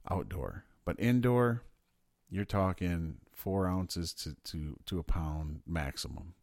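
Deep-voiced excitable man chatting. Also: slow pace, no echo, studio quality. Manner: excitable, swearing, riffing on questions. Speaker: podcast co-host. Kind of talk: casual chat.

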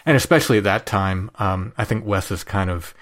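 A man's voice: lowish voice, a little fast. A man who is an author and podcaster, answering interview questions in a rambling way, often restarting his sentences.

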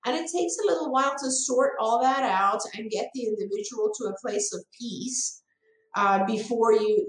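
Earnest woman, English as second language. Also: mid-range voice. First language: not English. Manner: earnest, thoughtful, frequent restarts. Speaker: ABA program director. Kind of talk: live-stream Q&A answer. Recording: roomy echo.

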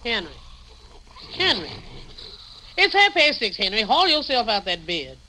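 A woman playing a brisk, bossy working-class housekeeper with folksy clipped speech in 1950s radio drama. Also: fairly high pitch, very animated, pushed and bright, heavily acted, rough recording. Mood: bossy, nagging. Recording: old radio recording, faint noise.

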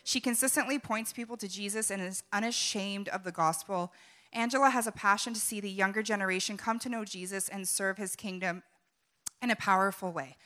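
A woman's voice: mid-range voice, a little fast, pushed and bright. A woman in her thirties introducing the speaker, heartfelt and emotional, with many hesitations. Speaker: conference host introducing the speaker.

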